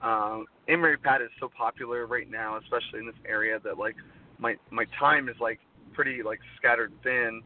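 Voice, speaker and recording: Man, thirties, worn low voice, rambling chat, lots of fillers, middle pitch, phone-line sound. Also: steady tone, brisk. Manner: rambling, heavy use of "like". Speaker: guest performer. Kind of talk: casual interview chat. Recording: tinny phone-like line, faint noise.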